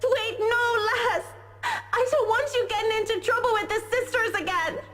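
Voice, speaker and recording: Nieśmiałą, błagającą uczennicę. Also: female voice, extremely high pitch, moderate pace. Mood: pleading. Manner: anxious, coaxing. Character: timid, pleading teenage schoolgirl. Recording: reverberant game audio with music.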